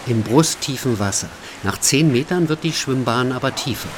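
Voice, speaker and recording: A man narrating a radio documentary feature in a calm, measured way. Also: mid-range voice, noisy background.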